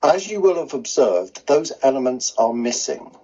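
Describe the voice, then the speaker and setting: A man delivering a formal prepared speech at a council meeting in measured, official wording. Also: medium pitch, big pitch swings, dark tone. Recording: dull lo-fi meeting recording.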